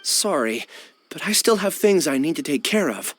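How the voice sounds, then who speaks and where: A man's voice: on the high side, sing-song, moderate pace, a bit bright. An actor playing an earnest, apologetic young man in a video game.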